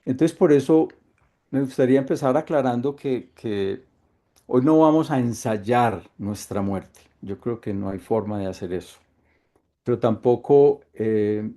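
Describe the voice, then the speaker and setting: A middle-aged Colombian man with a Colombian accent, leading an online workshop in a calm, thoughtful way with frequent hesitations. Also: medium pitch. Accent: Colombian Spanish. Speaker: workshop facilitator. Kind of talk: reflective online workshop talk. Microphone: video call.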